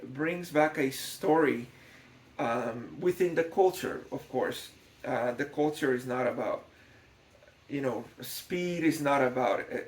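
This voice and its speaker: A man from Guatemala gives an unscripted documentary-style explanation, thoughtful and hesitant, often repeating words as he goes. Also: mid-range voice. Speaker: scale model builder, documentary narrator. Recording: echoey, reverberant room.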